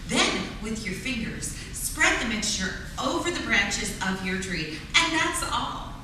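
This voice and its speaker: A woman playing a cheery 1940s radio pitchwoman giving scripted homemaking tips. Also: very animated, a little slow, pushed and bright, heavily acted. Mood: cheery, instructive. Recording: distant, echoey, noisy hall.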